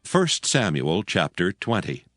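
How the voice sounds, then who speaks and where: A man giving a solemn, measured dramatic narration of scripture. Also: low-pitched, very animated, fairly bright tone. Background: music.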